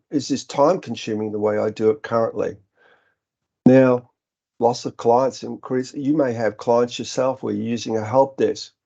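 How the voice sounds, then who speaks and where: A man's voice: medium pitch, dark tone. A middle-aged Australian man giving an earnest webinar presentation, explaining points with frequent ums and restarts. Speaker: business director presenting a webinar. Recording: dull, slightly lo-fi webinar audio.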